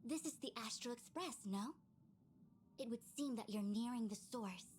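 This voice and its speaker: An actress voices a young woman seer in a video game. Her voice sits a bit high and carries calm authority, with formal, measured phrasing over faint background noise. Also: lightly acted. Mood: composed, solemn. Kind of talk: scripted game voice acting.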